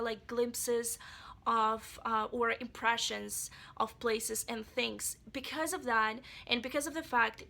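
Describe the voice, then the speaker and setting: Chatty woman with a gravelly, bright voice. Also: a little high. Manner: chatty and rambling, speaks straight to viewers. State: voice a bit off from being sick. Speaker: book vlogger. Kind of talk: casual book review vlog.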